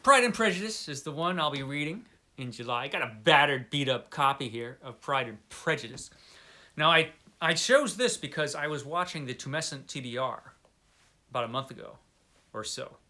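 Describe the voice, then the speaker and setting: A man's voice: a little high, animated, normal speed, pushed and bright. A man hosting a casual book vlog, chatting to the viewer in a playful, tongue-in-cheek way with emphatic declarations. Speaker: book vlogger, host of the channel. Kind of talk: casual chat to camera, answering book tag questions.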